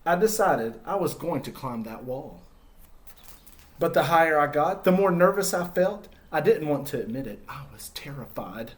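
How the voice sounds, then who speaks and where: A man reads as an earnest male egg from a children's storybook, timid but persevering. The voice sits a little high and moves expressively up and down as he tells his own tale. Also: normal speed, lightly acted. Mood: nervous, determined. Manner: earnest, expressive first-person storybook narration.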